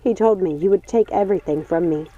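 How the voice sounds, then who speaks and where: A woman's voice: on the low side, fast talker, mellow, no room sound, highs cut off, faint music behind, natural voice. A woman voicing a suspicious, angry woman in a dramatic audiobook, accusing and firm as she makes demands. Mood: accusing, bitter. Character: suspicious, angry woman, a witch's non-magical daughter.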